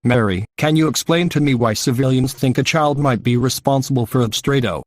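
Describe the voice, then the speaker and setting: Man playing a measured, solemn TV news anchor. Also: medium pitch, no echo, clean studio sound, close to an everyday voice. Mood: solemn, explanatory. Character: solemn male TV news anchor presenting eerie report. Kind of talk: scripted news report narration.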